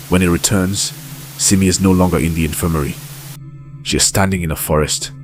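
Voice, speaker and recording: A man with a very low voice delivers scripted horror documentary narration. His storytelling is grave and deliberate, each phrase measured out over clearly audible background music.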